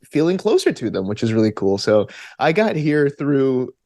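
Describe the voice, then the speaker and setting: Guy from alabama chatting on a podcast, playful and animated, really dry close sound. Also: mid-range voice, moderate pace, no echo. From Alabama, United States. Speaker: podcast guest, actor and gamer. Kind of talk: casual interview chat.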